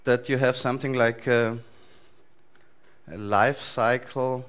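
A man in his thirties with an Austrian accent, giving a relaxed, loosely structured talk to a conference audience in a large lecture hall. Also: medium pitch, slow, clear, resonant tone. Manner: relaxed, thinking aloud with frequent fillers and restarts. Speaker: conference speaker.

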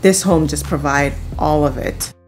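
Woman, low register, enthusiastic, casual vlog-style tour narration, background music. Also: unhurried, darkish tone. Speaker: house tour host.

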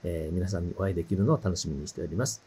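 A man's voice: low voice, rapid-fire, warm tone, husky. A middle-aged man giving a casual, polite and friendly self-introduction to camera, speaking a little hesitantly as a presenter. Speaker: avatar librarian presenter.

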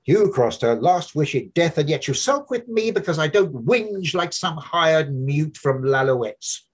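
A man voicing a brash, mocking young male medical student in a loud, high, husky voice. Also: lively intonation, unhurried.

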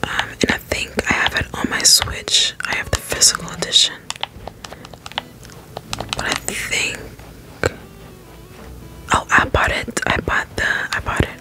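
A woman whispering soft, rambling ASMR commentary over gameplay in an unhurried way. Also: poor audio. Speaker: let's play streamer.

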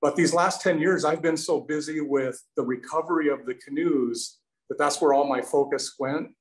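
A man's voice: a little high, moderate pace. A man in his forties answering audience questions on a video call from an indoor workshop, in an earnest, thankful way. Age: 40s. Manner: earnest, thankful, some restarts. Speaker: presenter, canoe maker. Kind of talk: casual Q&A answers. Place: indoor studio with windows. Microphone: video call, webcam. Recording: roomy echoey video call audio.

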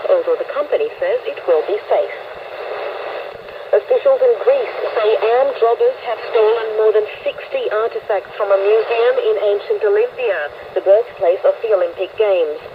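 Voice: mid-range voice, moderate pace. A radio newsreader delivering a scripted news bulletin. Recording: noisy shortwave radio, narrow phone-like band.

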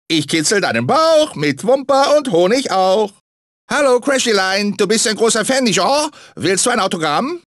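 A manic talking fruit mascot from a cartoon video game. The voice is very high and swoops all over, theatrical and grumpy under a sugary sales pitch. The sound is dry and close. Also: male voice, fairly bright tone, no room sound, heavily acted. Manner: theatrical, swinging between sugary salesman and irritable grumbler. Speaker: video game character actor.

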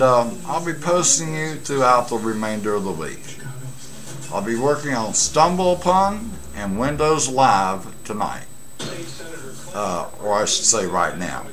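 Slow, lively-pitched man in his late fifties. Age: late 50s. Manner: halting, pausing delivery with asides. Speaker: amateur vlogger and CB radio hobbyist. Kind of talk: casual rambling webcam chat. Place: small cluttered room at home. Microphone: webcam setup at desk distance.

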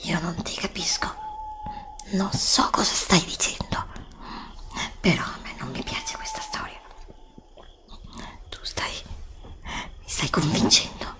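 A woman's voice: medium pitch, wildly swooping pitch, slow pace, long pauses. A middle-aged woman whispering an ASMR roleplay, pleading and anxious, recorded indoors on a desk studio mic. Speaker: ASMR creator. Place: indoor room before a green screen.

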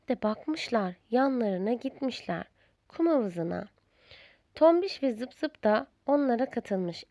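A woman narrating a children's storybook in a deliberate, expressive storyteller's manner. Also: on the high side, no echo, lo-fi sound.

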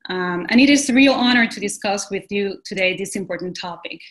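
A woman, giving an earnest, measured prepared presentation as a pediatrician over a video call from a home room. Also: mid-range voice, fairly bright tone. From Puerto Rico. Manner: earnest and measured, reading a script.

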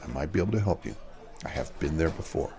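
A man's voice: a bass voice, animated, quick pace, mellow, soft background music. An actor playing a troubled, outwardly kind male vigilante, speaking earnestly in a radio mystery drama.

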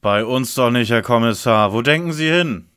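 A man's voice: mid-range voice, expressive, moderate pace, a bit bright, clean tone, natural voice. A man playing a smug, precocious schoolboy detective, pompous and over-polite, in a parody audio play.

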